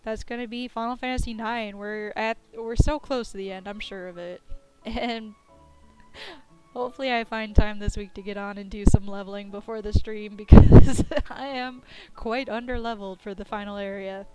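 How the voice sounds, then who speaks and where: A woman streamer chatting casually at the end of a live game stream, her voice scratchy and going hoarse, laughing often. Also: mid-range voice, steady tone, moderate pace, dark tone, soft background music.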